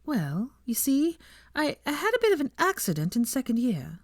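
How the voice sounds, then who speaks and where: A young witch from a storybook, as a woman narrator puts her on. She speaks high and swooping, with a hesitant, innocent air. The sound is close and dry. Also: wildly swooping pitch, normal speed, no echo, heavily acted. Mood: sheepish.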